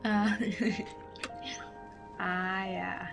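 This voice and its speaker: A woman's voice: mid-range voice, level tone, extremely slow, gravelly. A woman streaming a video game and reacting casually in brief remarks. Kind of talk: casual live gameplay reaction. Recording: distant mic under game music. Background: video game music.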